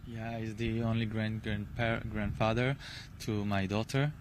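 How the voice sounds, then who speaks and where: Male interviewee, Israeli accent, flat intonation, emphatic repeated phrases, slight outdoor noise. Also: fairly low pitch, measured pace. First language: Hebrew. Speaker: interviewee, hostage's grandson. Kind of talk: earnest interview answers. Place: outdoors near a rural kibbutz. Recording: noisy outdoor field recording.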